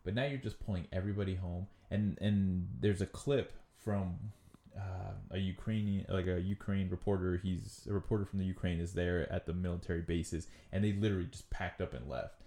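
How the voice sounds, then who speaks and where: Podcast co-host, male, deep and dark, rambling casual delivery, roomy sound. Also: fairly even intonation, fairly quick. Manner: loose, profane, trailing off and restarting. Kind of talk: casual chat.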